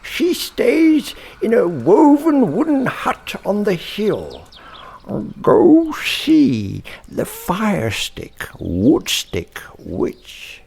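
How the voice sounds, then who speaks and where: A man voicing a wise, mysterious old female cat witch in a thin, deliberate falsetto for a children's storybook. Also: wildly swooping pitch, creaky, darkish tone, breathy edge, full character voice. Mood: knowing.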